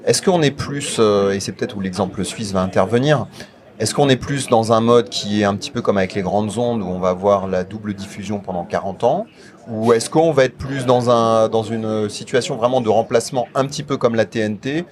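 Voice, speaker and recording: A male interviewer with a fairly low voice speaks in a conversational style. He builds long, roundabout questions, feeling his way from one clause to the next. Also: fairly quick, darkish tone. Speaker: radio show interviewer.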